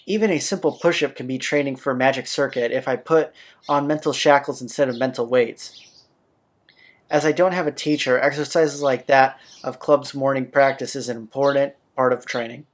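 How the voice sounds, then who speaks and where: A man's voice: medium pitch, steady tone, normal speed. A man hosting a game playthrough, informally commenting and reading the story text aloud in a plain way. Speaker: playthrough host. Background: game soundtrack music.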